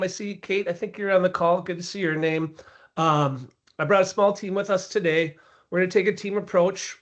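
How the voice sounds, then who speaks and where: A man's voice: sits high, muffled recording. A man with a scratchy voice from a cold, presenting casually on a business webinar with frequent ums. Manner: conversational, with frequent ums and restarts. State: hoarse from a cold. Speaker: sales presenter. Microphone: video call.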